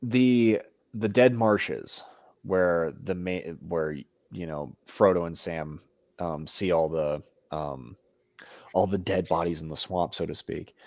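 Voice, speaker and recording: A man with a very deep voice co-hosts a casual book discussion. He talks with passion and playful mock outrage, and his voice is recorded dry and close. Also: slow pace, darkish tone, no echo, muffled recording. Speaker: co-host.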